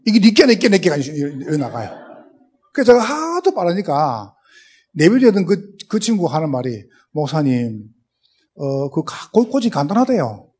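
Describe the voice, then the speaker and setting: A man's voice: fairly high pitch, sing-song, moderate pace, a little mellow, slightly rough. A man pastor from Gyeongsang with a strong Gyeongsang accent, preaching a humorous sermon full of anecdotes and acted-out dialogue. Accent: Gyeongsang Korean. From Gyeongsang, South Korea. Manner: storytelling, acts out dialogue, speeds up and laughs.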